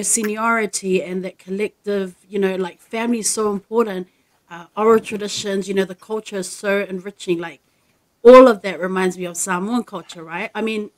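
A woman's voice: medium pitch, rough recording. A woman, hosting a casual video-call interview with upbeat questions. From New Zealand. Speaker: host. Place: home room with dark curtain, indoors.

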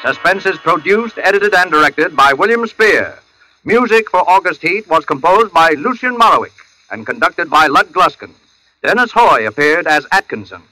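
Very bright, formal male radio announcer. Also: on the high side, expressive, normal speed, faint music behind, low-quality recording. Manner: formal, declamatory.

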